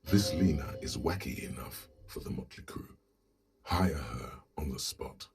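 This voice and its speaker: A man voicing a detective's brooding inner thoughts in a video game, gravelly and dry, with music behind him. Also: rumbling low, a little slow, darkish tone, heavily acted. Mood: dry, observing. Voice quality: gravelly, raspy. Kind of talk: dramatic game narration.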